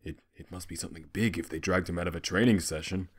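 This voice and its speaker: A man's voice: low voice, fairly even intonation, fast, slight background noise, lightly acted. A man playing a curious, eager young recruit in a fan audio drama.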